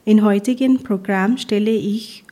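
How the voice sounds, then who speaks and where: A woman from Pakistan's Punjab speaks, her being Punjabi. She reads a prepared talk at a careful, measured pace and lets small grammar slips through. Her pitch sits in the middle for a woman. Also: steady tone, mellow. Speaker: guest presenter.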